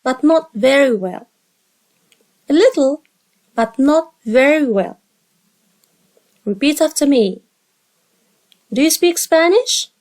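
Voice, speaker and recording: Female English teacher with a high voice, leading repeat-after-me practice. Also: lively intonation, a little mellow. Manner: slow, carefully spaced drill reading. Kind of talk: repeat-after-me dialogue drill.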